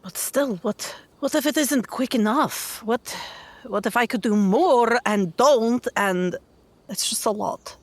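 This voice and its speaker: A woman's voice: on the high side, slow pace, no room sound, voice pushed up. A woman voicing a tough, blunt young warrior in a tabletop game, hesitant and wry, anxious for her mother. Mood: anxious, frustrated.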